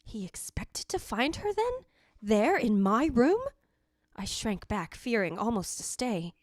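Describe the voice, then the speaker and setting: A woman's voice: on the high side, very animated, slow, darkish tone, no echo, studio quality, exaggerated voice. A woman playing the yearning, possessive ghost of a dead wife, narrating formally in old-time radio drama style. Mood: hurt, fearful. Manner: formal, literary, theatrical delivery.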